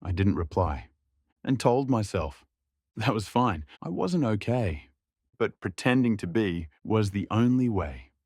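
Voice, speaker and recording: A young man narrates a scripted audio drama in a subdued, reflective inner voice. His pitch sits a little low, and the recording is close and dry. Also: very animated, measured pace, darkish tone, breathy edge, dry recording.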